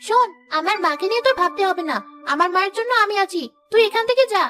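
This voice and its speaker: A taunting, spoiled young stepsister, voiced by a woman, high and fast. She snaps her words with a sneer. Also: lively intonation, pushed and bright, faint music behind, full character voice. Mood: snippy. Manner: snippy, taunting.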